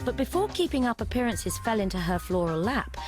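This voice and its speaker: A woman's voice: fairly high pitch, very animated, brisk. Woman narrating a TV tribute over music. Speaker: documentary narrator. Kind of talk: scripted narration. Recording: broadcast clip with music bed.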